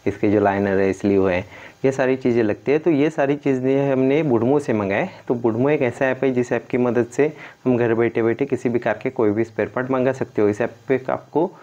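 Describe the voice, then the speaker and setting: Mellow man explaining hands-on work. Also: mid-range voice, a little fast. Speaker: car mechanic presenting a tutorial. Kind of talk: hands-on instructional commentary. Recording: dull lo-fi sound.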